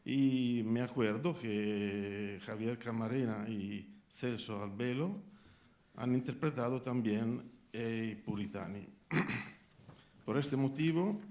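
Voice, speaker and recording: A man from Italy speaking Spanish with an Italian accent, asking a halting question at a press conference as a journalist. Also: mid-range voice, a little mellow. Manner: halting, searching for words, clears throat.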